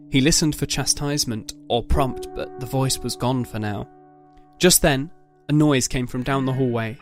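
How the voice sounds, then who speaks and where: A man's voice: medium pitch, darkish tone. Male narrator of a dramatic story, music underneath. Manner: measured, literary delivery.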